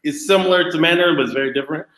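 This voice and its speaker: A man's voice: a little high, racing, a bit bright, a little hiss or hum. A man explaining things in a casual chat, in a hesitant, piecemeal way. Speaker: panel guest. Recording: echoey room.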